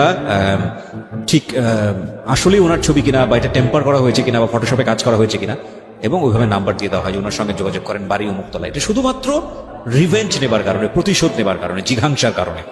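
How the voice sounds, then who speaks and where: A man with a Bangladeshi accent hosting a radio talk show, speaking deliberately and emphatically to listeners and a guest. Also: mid-range voice, expressive. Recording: echoey reverb, background music. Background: music.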